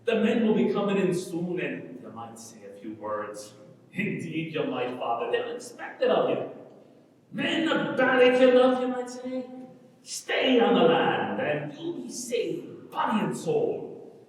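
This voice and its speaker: Idealistic young Irish countryman male actor, high and swooping, speechifying across an echoey hall. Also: wildly swooping pitch, unhurried, full character voice. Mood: rousing, idealistic. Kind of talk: dramatic stage reading of a play. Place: large reverberant indoor hall, from far off. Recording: very echoey hall, distant mic.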